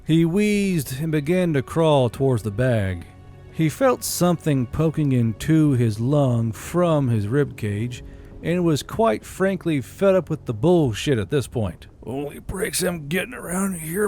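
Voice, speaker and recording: A man's voice: mid-range voice, mellow. A man reading scripted fantasy fiction as an audiobook narrator.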